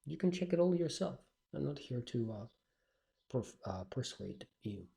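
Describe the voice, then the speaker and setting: Man, non-native English speaker, gravelly voice with vocal fry, mid pitch, blunt and direct, solo podcast coach. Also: big pitch swings, normal speed, warm tone. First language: not English.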